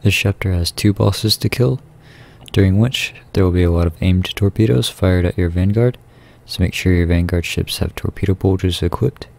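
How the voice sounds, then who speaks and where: Low, gravelly man narrating a game guide. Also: normal speed, a little mellow, slight background noise. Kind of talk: scripted guide narration over gameplay.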